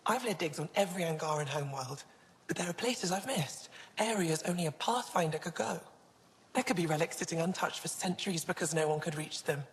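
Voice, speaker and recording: A young female alien curator from a sci-fi game, played by an actress, with a notably low voice. She is earnest, scholarly and a little wistful, speaking in a measured way. Long reverb surrounds her, as in a big hall. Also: lively intonation, close to an everyday voice. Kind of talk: video game dialogue.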